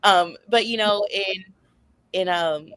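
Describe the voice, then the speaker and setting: A woman's voice: medium pitch, slow, a bit bright, clean tone, no room sound. A woman in a casual book chat, speaking with enthusiasm and emphasis and peppering her talk with filler words like "like" and "you know". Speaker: book discussion panelist.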